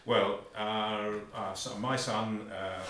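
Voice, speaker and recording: A man in his seventies with a Lancashire accent, answering audition questions as team captain, halting with ums and pauses. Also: on the low side, steady tone, a bit bright, a little hiss or hum. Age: 70s. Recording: echoey room, mic far off.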